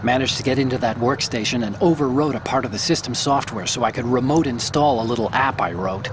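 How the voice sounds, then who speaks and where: A man's voice: mid-range voice, noisy background, close to an everyday voice. Man voicing an eager techie on the radio. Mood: explaining. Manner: quick, explanatory, eager. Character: chatty male tech support hacker.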